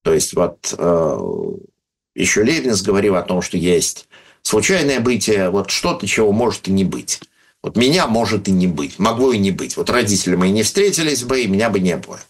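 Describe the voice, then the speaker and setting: A man explaining ideas in unscripted talk, thoughtful and pausing often with hesitations. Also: on the low side, lively intonation. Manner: thoughtful, many hesitations, reads out listener questions. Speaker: speaker answering questions on philosophy of religion.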